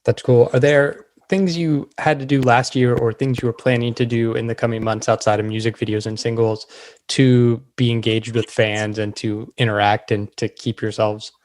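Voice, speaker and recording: Male podcast host, conversational, medium-pitched voice. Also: little pitch movement, moderate pace, darkish tone, no echo. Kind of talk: interviewing and podcast sign-off.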